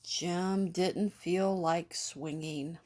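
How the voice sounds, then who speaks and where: A woman reads a picture book aloud for children. Her voice sits a little low and she takes her time with a very slow, measured pace. The delivery is that of an expressive storyteller. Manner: measured storytelling with acted dialogue.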